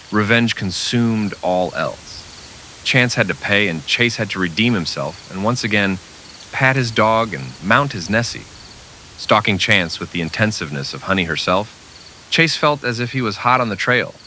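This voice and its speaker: A man's voice: lowish voice, lively intonation, normal speed, pushed and bright, a little hiss or hum. A man narrating a scripted old-time western radio drama with dramatic storytelling delivery.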